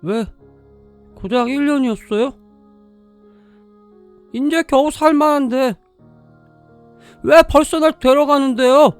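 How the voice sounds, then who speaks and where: A man's voice: very high-pitched, slow pace, long pauses. An actor playing an aggrieved, defiant adolescent boy in an audio drama. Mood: pleading, defiant. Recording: long echoing reverb, music behind.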